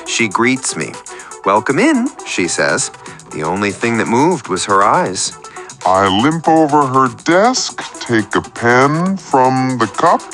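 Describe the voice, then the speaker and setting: A man acts out a self-pitying, overlooked radio caller in a scripted comic radio play. His voice is bright and swings widely in pitch as he confesses in wordy, theatrical detail, with music underneath. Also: heavily acted.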